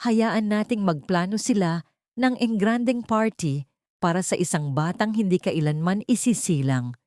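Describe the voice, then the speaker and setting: A woman's voice: medium pitch, moderate pace, darkish tone, no room sound, clean studio sound, lightly acted. A woman voicing a cold, commanding, betrayed wife and wealthy boss in an audio story, with a controlled, cutting manner. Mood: cold, bitter.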